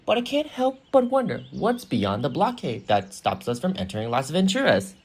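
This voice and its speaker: A man's voice: on the high side, wildly swooping pitch, moderate pace, a little mellow, husky, exaggerated voice. A man voicing a weary, wistful talking train in an earnest, longing manner, in a children's storybook style.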